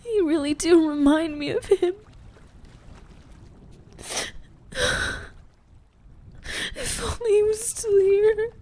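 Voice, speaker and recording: A woman playing a gentle, grieving but resolute young ghoul girl in a roleplay audio drama, speaking softly and earnestly with pauses and whispers. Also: very high-pitched, animated. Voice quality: soft, often breaking into whispers. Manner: slow, emotional, earnest, with pauses.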